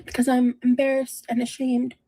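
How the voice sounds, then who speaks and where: A woman with a fairly high voice and a very soft, mellow tone speaks in a personal confessional monologue. She is self-reflective and halting as she works through her thoughts. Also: completely flat delivery, poor audio.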